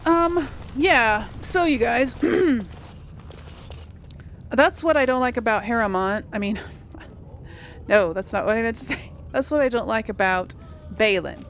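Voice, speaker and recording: A woman's voice: on the high side, measured pace, long pauses. A woman doing casual gameplay commentary, hesitant and rambling as she thinks aloud to herself over game ambience. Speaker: host of a role-play let's play series. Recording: clear background noise, game ambience.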